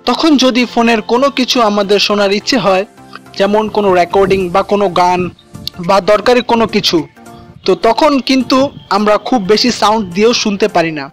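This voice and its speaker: A man's voice: high-pitched, fairly bright tone. A man narrating an instructional app tutorial as its host. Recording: voice-over with music behind.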